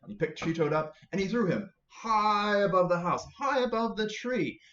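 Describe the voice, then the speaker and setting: A man's voice: sits high, animated, moderate pace, a bit bright. A man telling a story to children as a library storyteller, with playful repetition and emphasis. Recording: roomy, slightly dull recording with faint noise.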